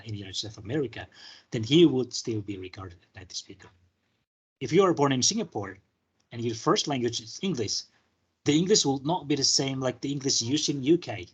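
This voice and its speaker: A man from Indonesia at a middle pitch with a husky, slightly breathy voice gives a relaxed university lecture. He thinks aloud, trails off into fillers and restarts sentences as he explains ideas.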